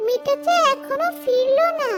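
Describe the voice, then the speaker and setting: A worried village mother voiced by a woman with a very high voice, fretful and questioning. It is cartoon story acting, with loud music underneath. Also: moderate pace. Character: anxious village mother, stern but caring.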